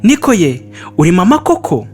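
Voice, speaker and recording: A man's voice: high voice, wildly swooping pitch, a little fast, darkish tone, soft background music, voice pushed up. A man voicing a clever, suspicious young goat kid with a challenging, mocking manner.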